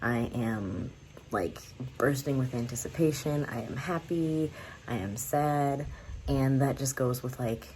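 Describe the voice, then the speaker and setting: Young american woman vlogging, real low mellow voice, chatty and laughs a lot. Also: measured pace, a little hiss or hum, poor audio. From United States. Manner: candid, rambling, laughs often. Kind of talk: casual talk to camera.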